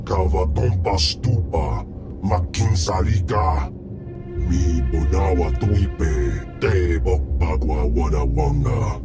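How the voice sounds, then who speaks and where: A man's voice: deep voice, animated, slow pace, heavily acted. A man voicing a huge, arrogant alien slug crime lord who speaks pompously in a guttural alien tongue for a video game. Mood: indignant. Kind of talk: video game character acting. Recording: loud music behind the voice.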